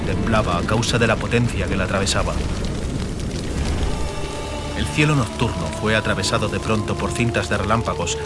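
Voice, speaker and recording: A man narrating a fantasy audiobook dramatically, in measured, emphatic phrases. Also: on the low side. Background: music and atmospheric sound effects.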